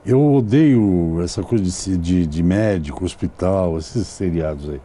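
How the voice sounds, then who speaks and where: A man's voice: low voice, a little slow, dark tone. A man hosting a television interview, asking long, rambling questions that wander through side remarks. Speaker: TV interviewer and host. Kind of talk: casual TV interview.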